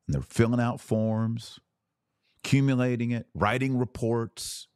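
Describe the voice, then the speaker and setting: A man's voice: mid-range voice, fairly even intonation, measured pace, a little mellow, smooth, dry recording, clean studio sound. A man hosting a podcast, giving opinionated religious commentary in a preaching style, emphatic, with rhetorical questions and sarcastic asides.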